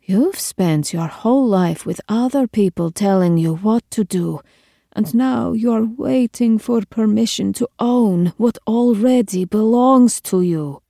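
A woman's voice: medium pitch, measured pace, gravelly, dark tone, no room sound, studio quality. A woman voicing a stern, imperious queen in an audiobook story, cold, clipped and commanding. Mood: sharp, commanding. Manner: clipped, authoritative, scolding.

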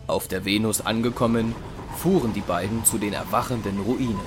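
A man narrates a science fiction audio drama in a fairly low voice. He reads scripted storytelling passages, with music clearly audible underneath. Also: a little fast. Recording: music behind the voice.

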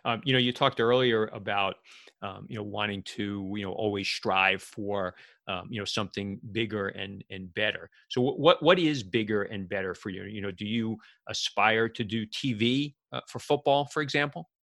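Gravelly, bright-voiced male interviewer. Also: on the low side, no room sound, studio quality. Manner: hesitant, halting phrasing with many filler phrases. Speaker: podcast host, interviewer. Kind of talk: casual interview questions.

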